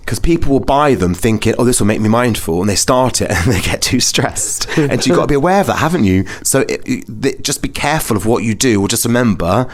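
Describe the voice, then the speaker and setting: A British man chats casually as the host of a podcast. His pitch sits in the middle for a man. He talks in anecdotes, with a self-deprecating streak and plenty of easy chatter. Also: animated, a little fast, few pauses. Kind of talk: casual chat.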